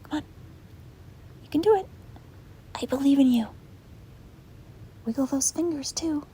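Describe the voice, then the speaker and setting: Woman playing a whispering, hesitant, gentle demon girl. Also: sits high, lively intonation, unhurried, poor audio. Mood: encouraging. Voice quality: breathy whisper. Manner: hesitant, rambling, soft-spoken. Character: gentle, apologetic, reluctant young female sleep paralysis demon. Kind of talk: whispered ASMR roleplay. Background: rain and wind.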